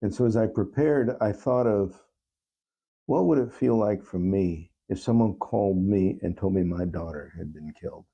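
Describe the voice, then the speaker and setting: A man speaking as an interview guest, telling personal stories in a reflective, halting and earnest way. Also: deep voice, creaky, mellow, slightly rough, dull audio. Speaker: talk show guest, songwriter.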